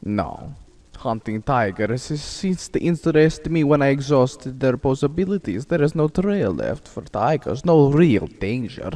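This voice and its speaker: An actor playing a suave, boastful aristocratic general who hunts men, in a courtly, theatrical old-time radio drama style. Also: male voice, medium pitch, sing-song, measured pace, warm tone. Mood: courtly, reflective.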